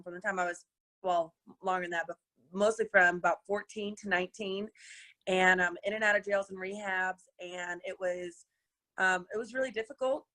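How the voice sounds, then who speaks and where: A young adult woman from Colorado with a raspy voice, chatting as an energetic guest on a webinar call. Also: mid-range voice, low-quality recording. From Colorado, USA. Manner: energetic, laughs often, many fillers. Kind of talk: casual interview chat. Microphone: webinar video call.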